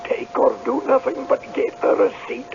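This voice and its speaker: An actor playing an eerie ghostly guide among the dead in a radio drama, with an ominous, deliberate manner. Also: male voice, extremely high pitch, steady tone, vocal fry, heavily acted. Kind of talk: old-time radio drama acting. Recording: noisy, dull vintage radio broadcast.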